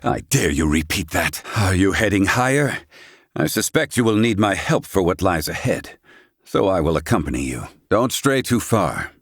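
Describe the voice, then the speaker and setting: Elderly scholar-healer, video game character, slightly deep, haughty, sardonic, archaic formal diction, dry studio-tight sound. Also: male voice, sing-song, moderate pace, breathy edge, no echo, heavily acted. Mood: brisk, commanding. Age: older. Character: proud, sardonic old male scholar and healer.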